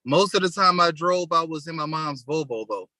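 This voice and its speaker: A man's voice: a little high, expressive, moderate pace, bright, forceful tone, dry recording, studio quality. A young man talking casually on a podcast, telling laid-back stories with plenty of slang. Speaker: podcast co-host. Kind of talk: casual chat.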